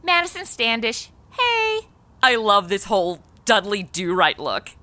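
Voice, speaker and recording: A woman's voice: a little high, wildly swooping pitch, a little slow, pushed and bright, no echo. A woman hosting and narrating a comedy audio drama in a cheeky, sarcastic, conversational manner. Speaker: comedy podcast host and narrator.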